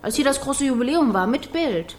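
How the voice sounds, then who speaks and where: An actress playing a chatty, pragmatic female nurse in a radio play, talking candidly and a little haltingly. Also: high voice, animated, slight background noise. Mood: candid, matter-of-fact.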